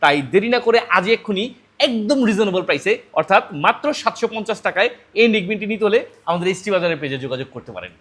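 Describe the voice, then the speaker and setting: A man with a Bangladeshi accent presents a product as a shop salesman. His voice is high for a man and he talks extremely fast. The delivery is a persuasive demonstration that circles back over its selling points. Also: lively intonation, fairly bright tone. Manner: persuasive, repetitive.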